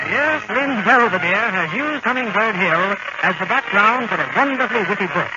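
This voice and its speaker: An actor playing a genial, wry storyteller, narrating a scripted old radio comedy. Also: male voice, high voice, lively intonation, normal speed. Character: genial, wry male storyteller setting the scene. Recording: noisy, muffled old radio broadcast.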